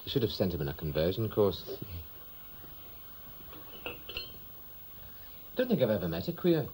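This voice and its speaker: An actor playing an earnest, fretful wartime pilot in a radio play, speaking in a terse, deadpan way. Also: male voice, lowish voice, fast, slight background noise, low-quality recording. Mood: curious, deadpan.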